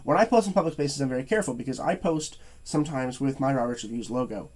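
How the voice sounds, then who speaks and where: A man's voice: medium pitch, darkish tone. A young man reviewing movies in a casual rant, tired and stuffy-nosed, stuttering and restarting his sentences. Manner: stuttering, halting, many fillers and restarts.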